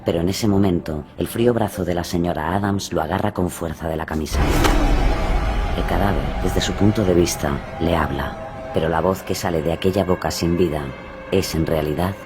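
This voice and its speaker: Male narrator, deep register, scripted dramatic crime storytelling over a clear music bed. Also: moderate pace. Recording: music behind the voice.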